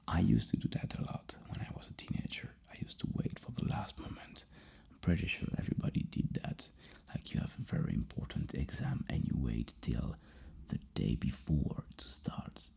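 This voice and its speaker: Very low, creaky ASMR whisperer. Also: dark tone. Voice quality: breathy, husky, creaky whisper. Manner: hesitant, repeats and rephrases questions. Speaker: ASMR roleplayer playing a psychologist asking questionnaire questions. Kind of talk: soft-spoken whispered ASMR roleplay. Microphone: close microphone.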